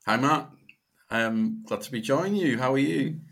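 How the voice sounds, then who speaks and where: A man from Cumbria with a Northern English accent, a musician answering interview questions in a halting, informal, conversational way. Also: mid-range voice, lively intonation, gravelly, a bit bright, husky. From Cumbria, England. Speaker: guest musician.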